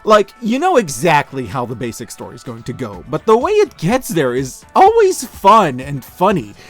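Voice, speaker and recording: Animated man, high-pitched, reviewing casually. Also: moderate pace. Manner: casual, joking, wry. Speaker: host of a year-end media review show. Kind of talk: scripted review narration. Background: music behind the voice.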